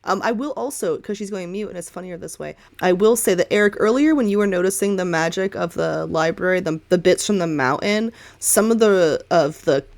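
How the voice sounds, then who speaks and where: A woman's voice: fairly low pitch, fairly quick, few pauses, darkish tone. A woman game master narrating a tabletop roleplaying game in a casual, playful, improvised way. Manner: playful, chatty.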